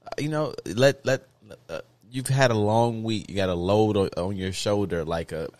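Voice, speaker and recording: A man co-hosting a podcast in casual chat, talking in an informal, halting, rambling way. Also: lowish voice, a little mellow, no echo. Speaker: podcast co-host.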